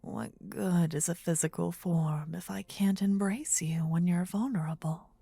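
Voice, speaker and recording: A woman playing a possessive, tender demon queen in a fantasy ASMR roleplay, with a soft breathy whisper and an intimate, regal, coaxing manner. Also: on the low side, a little slow, no echo, heavily acted. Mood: tender, protective.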